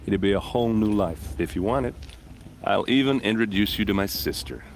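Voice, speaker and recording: A man's voice: on the low side, brisk, slight background noise, natural voice. An actor playing a breezy, flirtatious, confident man chatting teasingly in a fantasy game. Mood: flirtatious, boastful. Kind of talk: casual dialogue in a fantasy game.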